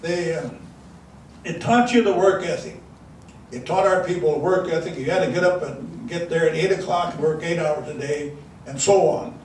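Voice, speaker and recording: A man's voice: a little high, normal speed, a little mellow. Older man reminiscing in an echoey room. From South Dakota. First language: Dakota. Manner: rambling, many restarts and fillers. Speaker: elder giving a talk. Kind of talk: storytelling speech to an audience. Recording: echoey room from far off, faint noise.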